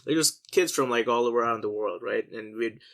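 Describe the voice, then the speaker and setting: A man from India chats casually on a podcast, wry and chatty as he tells stories. His pitch sits in the middle, and he leans into the lines he quotes. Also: moderate pace, creaky, fairly bright tone. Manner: wry, storytelling, acts out quoted voices. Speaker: podcast co-host. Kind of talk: casual chat.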